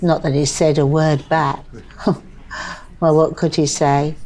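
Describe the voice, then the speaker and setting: An actress playing a grieving older widowed mother in a drama, rambling and plaintive, with deadpan wit and stubborn pleading. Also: low-pitched, slow. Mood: bitter, wry.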